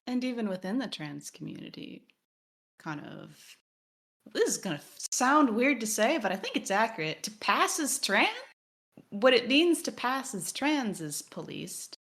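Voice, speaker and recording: A woman's voice: a little high, very animated, measured pace, fairly bright tone. A woman co-hosting a podcast, giving casual analysis in an emphatic, wry way and acting out imagined quotes. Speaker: podcast co-host.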